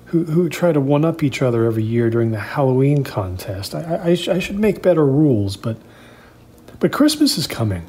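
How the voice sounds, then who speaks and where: A man's voice: medium pitch, big pitch swings, brisk, mellow, voice pushed up. A man voicing an awkward, overeager apartment building manager in an audio drama, with a stammering, oddly upbeat manner. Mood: flustered, earnest. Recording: clear background noise.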